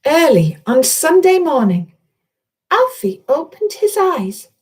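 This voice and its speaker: Slow, high-voiced woman reading to children. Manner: expressive, encouraging, addressing children directly. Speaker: children's author reading own story. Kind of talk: animated children's story reading with asides to young listeners.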